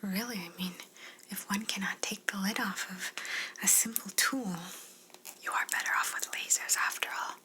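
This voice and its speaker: A woman voicing a polite, curious alien examiner in an ASMR roleplay, speaking gently and formally in a breathy whisper. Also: medium pitch, fairly even intonation, moderate pace, close to an everyday voice, poor audio. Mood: mildly exasperated.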